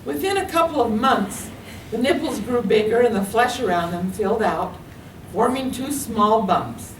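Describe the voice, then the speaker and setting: A woman with a fairly high voice reads her memoir aloud in a lively storytelling manner. She stands at a distance from the mic, and the room echoes around her. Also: moderate pace, a little hiss or hum. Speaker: writer reading her memoir. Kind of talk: literary reading. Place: library meeting room.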